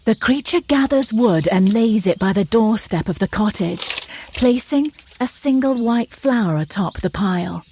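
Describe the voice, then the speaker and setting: A woman with a husky voice, narrating an audio drama as scripted scene description. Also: a little high, lively intonation, moderate pace, mellow, a little hiss or hum.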